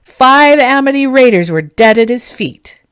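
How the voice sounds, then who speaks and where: A woman's voice: sits high, expressive, measured pace, fairly bright tone, clean tone, no echo, pitched above the performer's own voice. Audiobook role: woman playing an urgent, solemn middle-aged male farmer, news-bearer, dry sound. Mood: grave, excited.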